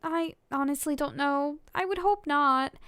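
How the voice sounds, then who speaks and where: Woman reading as a high-voiced awkward teenage boy. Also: measured pace, fairly bright tone, no room sound. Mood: comforting.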